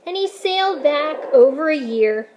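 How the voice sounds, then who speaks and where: A woman reading a picture book aloud to children, slowly and deliberately, with dramatic emphasis and some noise in the recording. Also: sits high, expressive, darkish tone, muffled recording. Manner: slow, deliberate, dramatic emphasis for young listeners. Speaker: story reader for children.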